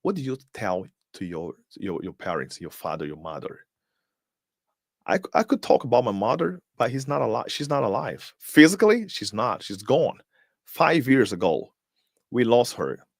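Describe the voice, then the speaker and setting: A man's voice: medium pitch, big pitch swings, normal speed, gravelly, dry recording, studio quality. A man whose first language is Portuguese gives a live-streamed motivational talk as host. He is emphatic, fires off rhetorical questions, and repeats words as he searches for them.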